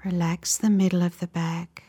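A woman leading a guided relaxation meditation in a soft, breathy voice, giving gentle, soothing instructions in short, measured phrases. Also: lowish voice, little pitch movement. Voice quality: soft, breathy, often near a whisper.

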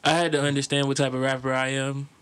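A man chatting casually and teasingly with a friend, his talking voice soothing and mid-pitched. He talks in loose slang and keeps the banter going. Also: little pitch movement, fast talker, fairly bright tone. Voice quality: raspy when rapping, soothing when talking. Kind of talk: casual chat.

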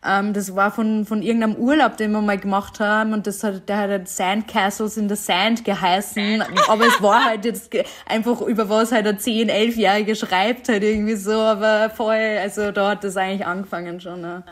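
A woman's voice: mid-range voice, steady tone, a little fast, few pauses. A young woman, a singer-songwriter answering interview questions in a chatty way, with many fillers and frequent laughs.

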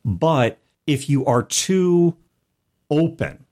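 A man co-hosting a casual discussion about tabletop gaming, explaining things in a measured way. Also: a little high, darkish tone. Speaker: podcast co-host and game master.

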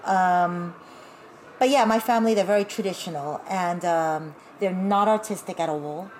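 Chatty, low-voiced Taiwanese-born woman. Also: moderate pace, slight background noise, rough recording. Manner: chatty and animated, many fillers, laughing asides. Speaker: guest, burlesque performer. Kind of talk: casual interview conversation.

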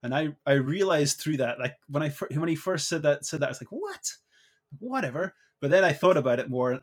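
A middle-aged man from Scotland with a Scottish accent, answering interview questions thoughtfully, with many fillers and restarts. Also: medium pitch. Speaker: guest.

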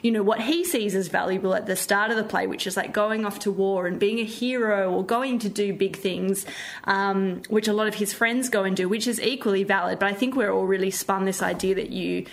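A woman's voice: mid-range voice, steady tone, fairly quick, gravelly. A woman answering interview questions as a theatre director, conversational, reflective and earnest. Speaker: podcast guest, theatre director.

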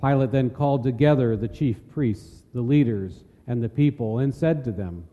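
Very mellow male scripture narrator. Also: mid-range voice. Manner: measured, solemn. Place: large reverberant church. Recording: long church hall reverb.

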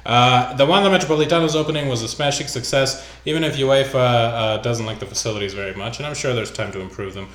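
A man's voice: mid-range voice, fast, hardly any pauses, a bit bright, roomy sound. A man hosting a casual sports podcast, reading news items aloud with loose asides. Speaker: podcast host.

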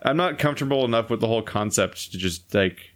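Easygoing male co-host, mid-pitched, rambling chat with frequent laughs. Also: animated, fast, a bit bright. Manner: laid-back, rambling, laughs often. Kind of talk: casual podcast chat.

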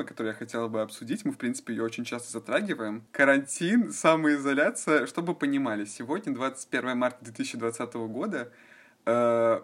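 Young male host, quick and loose, keeps circling back with fillers. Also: medium pitch. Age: young adult. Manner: rambling, heavy on fillers. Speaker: podcast host. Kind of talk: casual chat.

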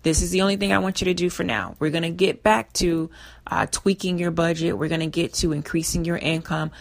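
A woman with a low, gravelly voice hosts a radio finance show, her voice a little hoarse and strained. She walks the listener through each step, coming back to her main points again and again. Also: fairly even intonation, a little fast. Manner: instructive, repeats key points. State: slightly hoarse. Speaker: financial advice show host. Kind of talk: radio advice talk.

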